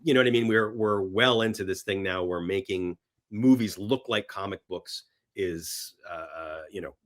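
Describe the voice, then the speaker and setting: Enthusiastic, rambling man talking film. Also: low-pitched. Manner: enthusiastic, halting and rambling, acts out imagined reactions. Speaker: podcast guest, filmmaker. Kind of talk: casual film talk in an interview.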